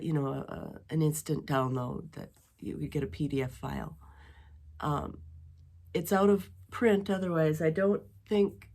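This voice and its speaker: Woman, middle-aged, deep voice, congested with a cold, rambling casual chat, home dining room. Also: darkish tone. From western Wisconsin. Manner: chatty and rambling, with many ums and restarts. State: stuffy head from a cold. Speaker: knitting podcast host.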